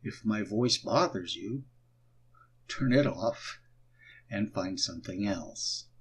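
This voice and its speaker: A man narrating a guided meditation, giving instructions in short, deliberate phrases. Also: on the low side, expressive, a little hiss or hum.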